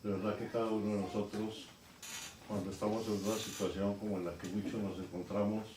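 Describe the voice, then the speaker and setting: Low male preacher, earnest and unhurried, recorded from a distance in a noisy church. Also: little pitch movement, echoey room. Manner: slow, earnest, with pauses and fillers. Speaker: lay preacher leading the service. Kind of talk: church welcome and sermon. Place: church sanctuary.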